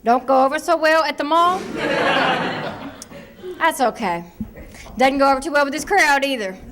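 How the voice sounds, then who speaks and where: A woman's voice: sits high, moderate pace, background noise. A woman from Ohio with an Ohio accent, doing a brash, slangy stand-up set. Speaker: stand-up comedian.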